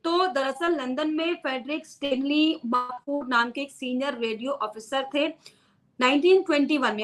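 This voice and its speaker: A woman's voice: sits high, sharp tone, poor audio. A woman news presenter giving an unscripted explainer to viewers, repeating key phrases for emphasis.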